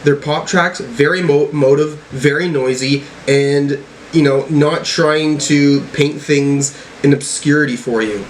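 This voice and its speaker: A man's voice: a little high, steady tone, unhurried, a little hiss or hum. A man giving casual album reaction commentary, rambling and swearing freely, often tagging on "right?" and "you know". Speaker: music reaction reviewer.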